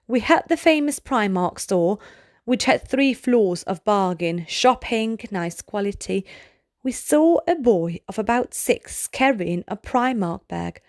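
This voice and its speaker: Slow-reading woman narrating a children's book. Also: medium pitch, a little mellow, no room sound, studio quality. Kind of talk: slow read-aloud of short storybook pieces.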